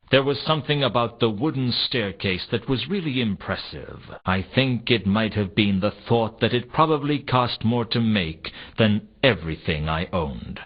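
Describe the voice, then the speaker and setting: Low, deadpan noir private eye. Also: male voice, husky. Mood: wry, impressed. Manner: dry, deadpan, wry. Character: world-weary, suspicious, sardonic male noir private detective. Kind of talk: hardboiled noir game narration. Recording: slightly dull, compressed console game audio.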